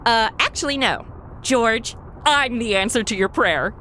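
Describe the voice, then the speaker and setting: A woman's voice: on the high side, expressive, a little slow, pushed and bright, slight background noise. A woman playing a sassy, flippant young guardian angel in a comedy audio drama, talking in blunt, sarcastic modern slang.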